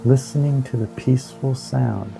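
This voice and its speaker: A man leading a guided meditation in a slow, soothing, hypnotic manner, with long pauses between short phrases. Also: mid-range voice, gravelly. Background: ambient new-age music with singing bowls.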